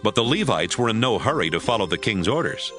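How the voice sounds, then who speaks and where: A man narrating an audio Bible drama from a script in a measured storytelling manner. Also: lowish voice, very animated, music in the background.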